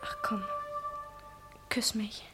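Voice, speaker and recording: An actress playing a tender, yearning young woman in love in a 1960s German radio play, breathy and fervent, often dropping to a whisper. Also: extremely slow, pushed and bright. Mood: coaxing. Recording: 1960 radio drama, faint music.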